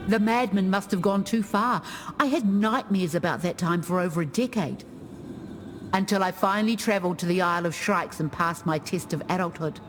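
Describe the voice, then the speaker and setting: A woman playing a proud, wise ancestral chieftain in a video game, speaking in measured, dignified storytelling. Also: lowish voice, a little hiss or hum, natural voice. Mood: grave. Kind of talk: scripted game character monologue.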